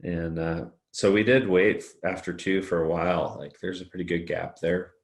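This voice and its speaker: A middle-aged man with a deep baritone voice, chatting in a relaxed, rambling way as a podcast guest and laughing often. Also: moderate pace, roomy sound. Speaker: guest singer-songwriter. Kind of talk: casual interview chat.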